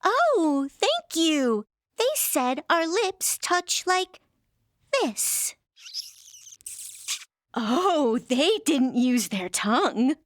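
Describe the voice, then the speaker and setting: A woman's voice: sits high, big pitch swings, very slow, long pauses, no echo, studio quality, exaggerated voice. A woman playing a naive, curious young scientist's daughter with formal, literal-minded phrasing, in a radio sci-fi parody. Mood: earnest, intrigued. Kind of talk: old-time radio sci-fi parody acting.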